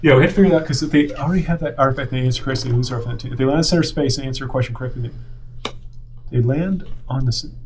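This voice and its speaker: A man's voice: mid-range voice, very fast, a little mellow, a little hiss or hum. A man running a casual tabletop game, explaining the rules and thinking aloud as he rephrases, with group chatter around him. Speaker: game designer and teacher running the game.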